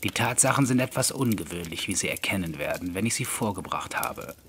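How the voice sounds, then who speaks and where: A grave, mysterious gentleman of a bygone century, acted by a male audiobook reader, speaks in a lowish voice. He is formal and courteous, with measured phrasing, and there is some noise on the recording. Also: lively intonation. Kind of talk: audiobook character dialogue.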